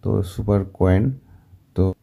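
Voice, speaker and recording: A man's voice: sits low, fairly even intonation, slow, gravelly, dark tone. A man with a Bengali accent giving a recorded voice-over that walks step by step through a shopping app. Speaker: motovlogger.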